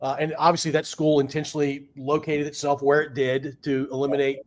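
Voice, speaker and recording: A man hosting a podcast, asking questions in a halting, hesitant way full of restarts. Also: a little high, flat delivery, a little hiss or hum. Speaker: podcast host. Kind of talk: casual conversational interview.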